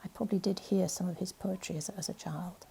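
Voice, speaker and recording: Poet, woman, mellow and gravelly, thinks aloud in a halting way. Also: fairly low pitch, steady tone. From Wales. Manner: hesitant, many fillers and restarts. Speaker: poet being interviewed. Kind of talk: casual interview chat.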